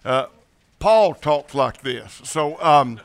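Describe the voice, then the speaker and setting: A man from Mississippi with a Southern accent preaching as a guest. He tells folksy joke stories to a congregation, halting and repeating words and chuckling often. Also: a little high, lively intonation. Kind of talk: folksy storytelling sermon with jokes. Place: church sanctuary with congregation.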